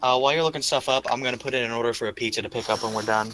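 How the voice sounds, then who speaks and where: Casual male tabletop player, sharp bright tone, joking and loose, faint background music. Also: mid-range voice, rapid-fire, low-quality recording. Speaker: player in a tabletop roleplaying game. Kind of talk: casual chat. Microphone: remote voice call microphone. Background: background guitar music.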